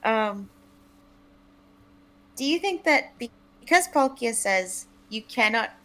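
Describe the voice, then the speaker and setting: A woman's voice: fairly high pitch, expressive, slow, sharp tone. A woman chatting casually and jokily as a co-streamer during a game. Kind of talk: casual, joking chat over a game.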